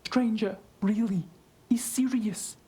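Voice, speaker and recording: A woman's voice: on the high side, fairly even intonation, slow pace, warm tone. A woman playing a blunt, exasperated but caring colleague in an audio drama. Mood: scolding. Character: blunt, exasperated, knowledgeable female colleague, worried.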